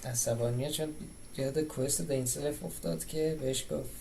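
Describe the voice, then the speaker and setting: A woman's voice: low voice, steady tone, racing, creaky, a little hiss or hum. A woman giving casual gameplay commentary as she plays, chatting informally. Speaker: game streamer. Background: loud game music.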